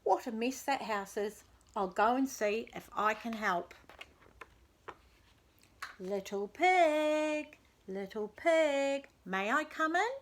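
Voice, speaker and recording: Big friendly wolf for a children's storybook, voiced by a woman: high-pitched, gentle, pleading, unhurried. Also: expressive, a little hiss or hum. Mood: gently coaxing. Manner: gently pleading, exclaiming politely. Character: big friendly wolf, kindly, soft-hearted, easily dismayed builder.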